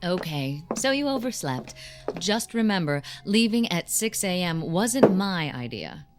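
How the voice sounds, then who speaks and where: A woman in her thirties about to elope. Her lowish voice is confident and playful, full of dry, teasing banter in a naturalistic radio drama style. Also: sing-song, a little slow, very noisy. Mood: wry, teasing. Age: 30s. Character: wry, teasing, confident thirty-one-year-old bride-to-be.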